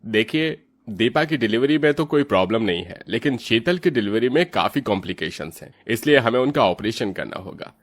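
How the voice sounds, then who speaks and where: A man voicing a serious doctor in an animated moral tale, explaining gravely. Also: mid-range voice, fairly quick. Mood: grave, urgent. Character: serious male doctor delivering worrying news.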